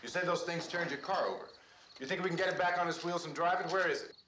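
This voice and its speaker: A man's voice: fairly high pitch, fast, crowd noise, natural voice. An actor playing a determined, practical man in a 1960s horror film, speaking urgently and asking questions. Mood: urgent, pressing. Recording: echoey noisy old film soundtrack.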